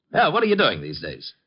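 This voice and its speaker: An actor playing a washed-up, jittery ex-athlete turned private eye in a 1950s radio drama, with slangy, defensive bluster. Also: male voice, a little high, breathy edge, exaggerated voice. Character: washed-up, jittery ex-football-star private eye, boastful and desperate. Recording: muffled, tinny old radio broadcast.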